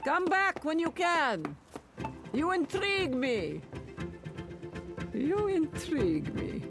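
Portentous street psychic, swooping delivery. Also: female voice, sits high, sing-song, takes it slowly, over loud music, heavily acted. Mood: intrigued, probing. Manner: portentous, declamatory. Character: mysterious, insistent female street psychic fortune teller. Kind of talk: theatrical audio drama acting.

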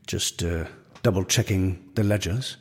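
A man's voice: deep voice, slow pace, slightly rough, exaggerated voice. A man playing a nervous, shifty tax collector. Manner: flustered, hesitant. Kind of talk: audio drama acting.

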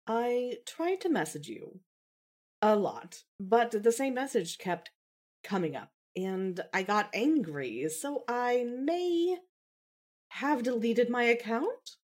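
A woman acts out a wry, loving woman in an audio fan drama. Her husky voice swings widely in pitch, with hesitant touches as she talks to the girlfriend she has found again. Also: very animated, takes it slowly, a little mellow, full character voice. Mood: sheepish. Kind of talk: dramatised fan fiction reading.

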